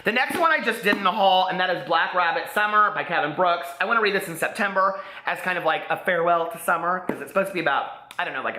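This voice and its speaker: Chatty, sassy man in an echoey room. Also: a little high. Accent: Midwestern American with a slight Southern drawl. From Indiana, USA. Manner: chatty, animated, sassy asides. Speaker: book vlogger. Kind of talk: casual chat to camera.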